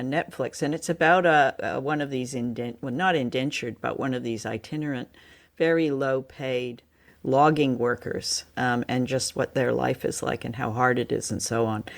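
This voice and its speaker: Hesitant woman co-host chatting casually. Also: a bass voice. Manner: hesitant, searching for words. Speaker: podcast co-host. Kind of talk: casual review chat.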